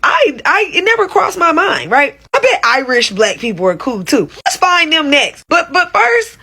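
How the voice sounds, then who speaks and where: Lively, bright-voiced chatty woman. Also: sits high, normal speed. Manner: chatty and animated, lots of filler likes. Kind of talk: casual social media clip.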